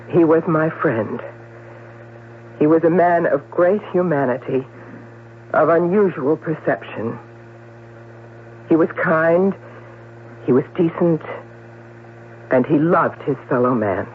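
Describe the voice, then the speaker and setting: Through a thin, humming radio broadcast comes a self-possessed newspaperwoman in a 1970s drama. Her pitch moves dramatically as she talks, articulate and persuasive, a shrewd woman who chooses where every stress falls. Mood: solemn, eulogizing. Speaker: actor. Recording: narrowband old radio broadcast with hum.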